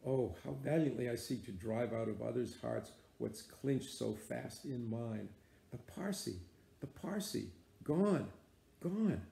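A man's voice: medium pitch, a little slow, gravelly, mellow, echoey room. A man playing a grim, obsessed old sea captain who commands in archaic speech. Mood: muttering.

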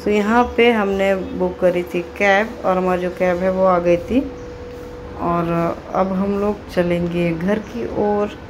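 A woman's voice: mid-range voice, steady tone, takes it slowly, background noise. A woman and mother narrating her family's train journey in a loose, chatty travel-vlog style, mixing in English words and fillers.

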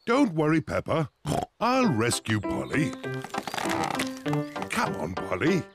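A man playing a jolly, reassuring daddy pig in a children's cartoon, with a British accent and an unhurried fatherly manner. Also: mid-range voice, huge pitch swings, over loud music, exaggerated voice.

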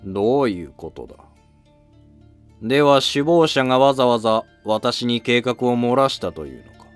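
A man's voice: medium pitch, lively intonation, normal speed, faint music behind, natural voice. A man playing a curt, imperious Heian emperor who speaks in terse, commanding period language. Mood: suspicious.

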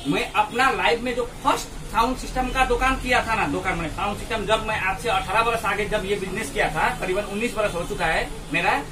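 High-voiced man, casual reviewer, noisy distant recording. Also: fairly quick, hardly any pauses. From India. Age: 30s. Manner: explanatory, repeatedly checking with catchphrases like a tag question. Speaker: product reviewer and vlogger. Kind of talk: casual product review to camera. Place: small cluttered sound-equipment shop, indoors. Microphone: camera or phone mic a short distance away.

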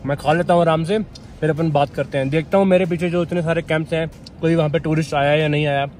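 A man's voice: a little high, steady tone, fast, darkish tone, a little hiss or hum. A young man chatting casually and informally to camera as a solo travel vlogger, outdoors. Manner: informal, bro-peppered talk. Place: outdoors at a mountain campsite.

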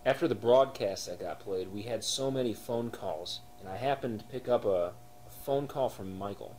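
A man playing a shaken, solemn police officer in an analog horror drama, speaking in a halting, matter-of-fact way. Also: a little mellow, natural voice. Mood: questioning, solemn. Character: grim, shaken male police officer investigating monsters. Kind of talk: analog horror found-footage acting. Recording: noisy, rumbling amateur recording.

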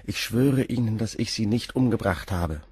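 A man's voice: lowish voice, normal speed, a little mellow, husky, no echo, clean studio sound. An actor playing a nervous, agitated young man under questioning in a radio drama, answering hesitantly. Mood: anxious. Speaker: suspect being questioned.

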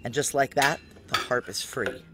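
A woman's voice: very deep voice, normal speed, background noise. An actress playing a tense, hard-boiled woman narrating a noir audio drama in terse present-tense steps. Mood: tense, focused. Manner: terse, step-by-step.

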